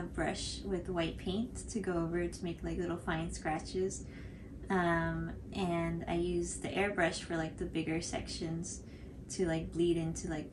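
Young woman, casual, noisy recording. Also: on the low side. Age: young adult. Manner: hesitant, filler-heavy phrasing with frequent "like". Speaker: cosplay tutorial co-host. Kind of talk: casual explanatory chat. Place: small furnished room indoors, on a couch. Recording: clearly noisy home recording.

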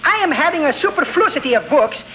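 An actor playing an excitable, genial neighbor who mangles English in 1940s radio comedy. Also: male voice, very high-pitched, fairly quick, exaggerated voice. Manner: malapropisms, exclamatory. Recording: very noisy vintage radio broadcast recording.

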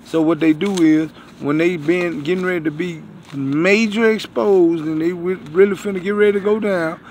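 A man in his thirties giving a rambling religious talk to viewers, halting and repeating his phrases, recorded on a phone outdoors. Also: high voice, fairly even intonation, a little mellow, slight background noise. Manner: repetitive, halting, circling back over phrases. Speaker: online preacher, self-styled prophet. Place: outdoors beside a building. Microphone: phone at arm's length.

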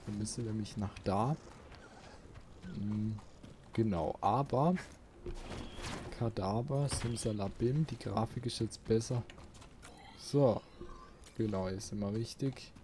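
A man's voice: fairly low pitch, slow pace, pauses often, warm tone, slight background noise. A man giving casual let's play commentary, thinking aloud and muttering questions to himself.

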